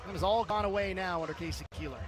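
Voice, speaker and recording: A man's voice: high voice, fast talker. A man calling live football play-by-play as a sports commentator.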